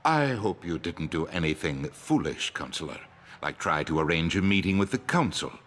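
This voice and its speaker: Haughty male politician for a game, low forceful voice, pompous, animated, in a reverberant hall. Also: moderate pace. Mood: disapproving. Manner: pompous, pointed. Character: haughty scheming male politician, disapproving and curt. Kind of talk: video game dialogue.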